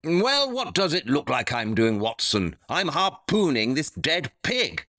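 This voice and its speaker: A man's voice: on the high side, wildly swooping pitch, a little slow, bright, forceful tone, no room sound, full character voice. A man playing a brilliant, eccentric detective in an audio drama, dry, matter-of-fact and condescending. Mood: impatient, condescending.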